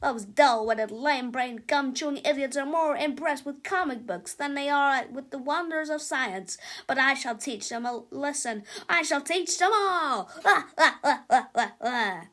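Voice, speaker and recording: Lady doing a bratty boy genius villain from a kids book, pompous, wordy, high and emphatic. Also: expressive, a little slow, hardly any pauses, fairly bright tone. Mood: gloating. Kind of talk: children's storybook character voice.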